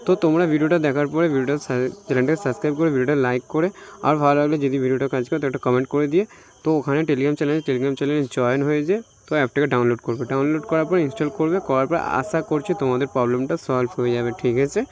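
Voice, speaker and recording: A man's voice: medium pitch, steady tone, rapid-fire, darkish tone, lo-fi sound, a little hiss or hum. A man from India with an Indian Bengali accent gives an informal phone tutorial, repeating himself and often tagging phrases with a checking question. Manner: repetitive, frequently tags phrases with a checking question. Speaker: tech tutorial YouTuber.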